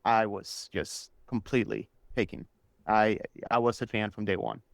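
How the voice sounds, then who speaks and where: A man's voice: fairly low pitch, steady tone, moderate pace, gravelly, no room sound, studio quality. A man whose first language is Spanish, chatting enthusiastically and casually as a guest telling stories on a podcast. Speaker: interview guest, superfan.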